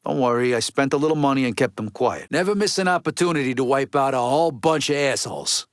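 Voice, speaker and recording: A man's voice: medium pitch, expressive, fast talker, no echo, lightly acted. Game mobster, male actor: middle-aged Italian-American tough guy, East Coast accent, street-smart, swearing freely, dry studio sound. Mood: businesslike. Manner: blunt, profane, street-tough wiseguy talk. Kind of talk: video game character dialogue.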